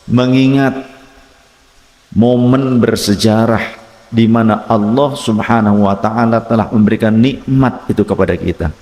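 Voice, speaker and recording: A male preacher with an Indonesian accent and a fairly low voice. He delivers patriotic religious oratory in deliberate, repeated rhetorical phrases. The sound is very echoey, with a long hall reverb trailing his words. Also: a little mellow.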